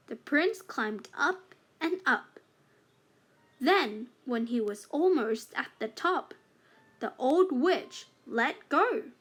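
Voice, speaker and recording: Slow, high-pitched woman reading a fairytale. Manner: slow, deliberate phrasing for young learners. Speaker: children's storybook narrator. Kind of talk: scripted read-aloud fairytale narration.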